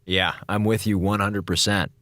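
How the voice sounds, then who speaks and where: An actor playing a flippant, sarcastic slacker communications officer on a space station in an audio drama. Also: male voice, lowish voice, little pitch movement, normal speed, fairly bright tone, no room sound, lightly acted. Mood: feigned earnestness.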